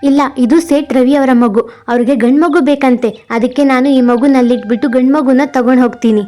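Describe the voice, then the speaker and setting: A woman voicing a scheming old village midwife in a cartoon, brisk and secretive. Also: high-pitched, fairly even intonation, mellow, faint music behind, natural voice.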